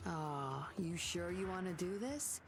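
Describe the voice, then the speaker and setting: A woman's voice: fairly low pitch, expressive, takes it slowly, over music. A woman voicing a cool, confident agent in a video game, teasing and composed. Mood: playful taunting. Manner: sly, composed.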